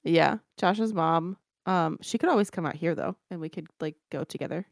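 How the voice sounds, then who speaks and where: A woman's voice: low-pitched, moderate pace, creaky, dry recording, studio quality. A young woman co-hosting a book podcast in casual chat, swearing freely and talking over her co-host.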